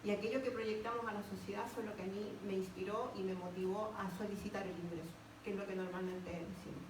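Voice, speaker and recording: A woman's voice: mid-range voice, fairly even intonation, fast. A woman with a Chilean Spanish accent giving an unscripted personal testimony at a public talk, often repeating words for emphasis. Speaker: panel speaker, lodge member. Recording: echoey, noisy room, distant microphone.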